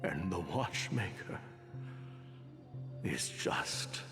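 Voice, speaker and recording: Wistful old man in a game, weary and halting, voice swells and dips, big reverb. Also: low voice, animated, exaggerated voice. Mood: wistful, nostalgic. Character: weary, wistful aged male traveler reminiscing. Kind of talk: dramatic video game voice acting. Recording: long reverb with music behind.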